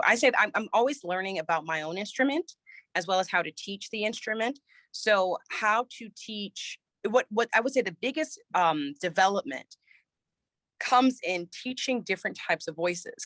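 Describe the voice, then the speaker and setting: A woman's voice: mid-range voice, fairly bright tone, dry recording. A woman choir director and voice teacher, a little scratchy from a recent cold, answering interview questions thoughtfully with many restarts.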